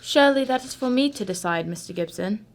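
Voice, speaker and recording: A woman's voice: mid-range voice, animated, brisk, lightly acted. A woman acting a shrewd, unflappable female detective in a radio drama, formal, composed and condescending. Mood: brisk, probing.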